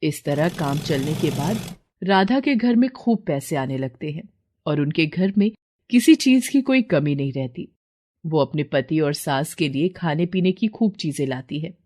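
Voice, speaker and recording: A woman's voice: low voice, animated, measured pace, darkish tone. A woman narrating a scripted moral story as a storyteller.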